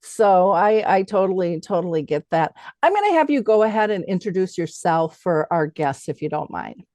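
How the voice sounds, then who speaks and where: A woman's voice: fairly low pitch, very animated, moderate pace, mellow, no echo. A middle-aged woman with a chatty, affirming manner, hosting a conversational interview. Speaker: interview show host.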